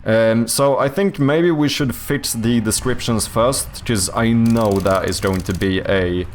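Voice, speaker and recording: A man's voice: mid-range voice, unhurried, a little hiss or hum. A young man with a Swedish accent chatting loosely on a game development stream, swearing often and filling gaps with ums and likes. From Sweden. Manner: loose and profane, full of fillers and restarts. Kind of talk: casual live-stream chat.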